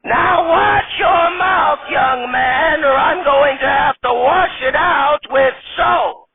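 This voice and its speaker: A cheeky, bossy grandmother in her nineties, squawks high and slow with a quaver. She scolds at full volume, stretching every word. The sound is thin and tinny, like over a phone. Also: gravelly, raised voice, exaggerated voice. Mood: brassy. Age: older. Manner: high quavering scolding old-lady voice, drawn out. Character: spry ninety-five-year-old grandma, cheeky and bossy.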